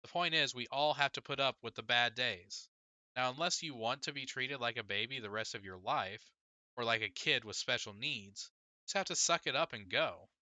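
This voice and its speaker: Exasperated teen big sister, read by a male narrator: emphatic, pleading, argumentative, very bright tone, close dry sound. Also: mid-range voice, moderate pace, no room sound. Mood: insistent, emphatic. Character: exasperated, insistent teenage girl, an older sister. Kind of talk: audiobook character dialogue.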